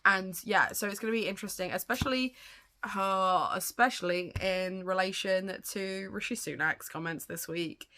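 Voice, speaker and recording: A woman chatting casually in a reading vlog, her voice gravelly from a cold, rambling and swearing now and then. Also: on the low side, bright, forceful tone. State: has a cold, sounds gravelly. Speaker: booktuber.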